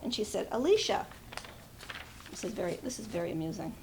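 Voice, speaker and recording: A woman's voice: mid-range voice, lively intonation, fairly quick, background noise. A woman speaking at a memorial, reading a tribute aloud and adding informal personal asides.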